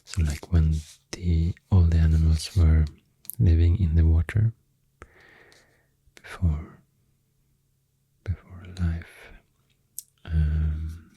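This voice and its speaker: Soft whispery swedish guy doing asmr, really dark low voice, rambles along slowly up close. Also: flat delivery, no echo, rough recording. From Sweden. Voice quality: soft, breathy, often whispery. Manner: unhurried, hesitant, explanatory. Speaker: ASMR creator. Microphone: close microphone. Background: pencil writing and clicking sounds.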